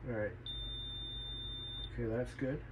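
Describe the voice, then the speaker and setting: A man's voice: mid-range voice, fairly even intonation, unhurried, a little mellow, slight background noise. A man who works as a repair technician, talking unscripted as he inspects his work, thinking aloud, repeating himself and swearing mildly. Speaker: radio repair technician and channel host.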